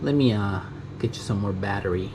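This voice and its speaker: Casual guy from a mexican family showing off his tools, ums and restarts, camera mic with loud ac hum behind. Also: lowish voice, brisk. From Mexican family, born in the US. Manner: rambling, many fillers, self-correcting, chuckles. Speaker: tool vlogger. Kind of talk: casual show-and-tell. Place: junkyard tool talk recorded in Japan. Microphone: GoPro camera mic. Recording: noisy camera audio, air conditioning hum.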